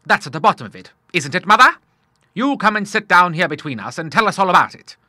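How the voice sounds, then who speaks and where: Man voices a hearty retired admiral in his sixties, loud and cheerful, dry close read. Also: sits high, very animated, quick pace, dry recording, pitched above the performer's own voice, exaggerated voice. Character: jovial old retired male naval admiral.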